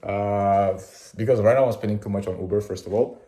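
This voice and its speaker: A man's voice: lowish voice, steady tone, fairly quick, warm tone. Rambling teen guy vlogging in an echoey room. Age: late teens. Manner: rambling, many fillers and restarts. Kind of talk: casual daily vlog.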